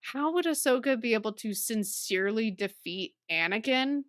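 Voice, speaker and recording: A woman's voice: fairly high pitch, lively intonation, moderate pace, smooth, dry recording, studio quality. A woman co-hosting a podcast in a casual, chatty way, often laughing through her words. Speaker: podcast co-host. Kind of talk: casual book-club chat.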